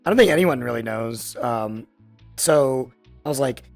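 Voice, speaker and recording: A man in his thirties with a raspy voice, chatting casually and in a rambling, halting way as a podcast host. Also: medium pitch, lively intonation, faint music behind. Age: 30s.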